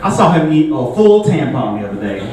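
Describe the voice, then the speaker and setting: A man's voice: a little high, big pitch swings, a little fast, a crowd in the background. Young male stand-up comic, laid-back delivery, very echoey room, distant mic. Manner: laid-back, conversational, frequent filler words. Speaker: stand-up comedian. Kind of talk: stand-up comedy set. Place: comedy show venue with a live audience.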